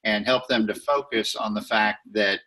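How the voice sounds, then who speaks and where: Texan guy hosting, slow and deliberate, kinda low but bright. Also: steady tone. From Texas. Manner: slow, deliberate with many uh fillers. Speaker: interview host. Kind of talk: interview host.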